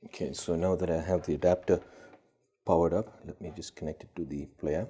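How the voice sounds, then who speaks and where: Guy with a really low, slightly rough voice, chatting through an unboxing, kinda tentative. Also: steady tone, fairly quick, mellow. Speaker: gadget collector and video host. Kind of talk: casual unboxing commentary.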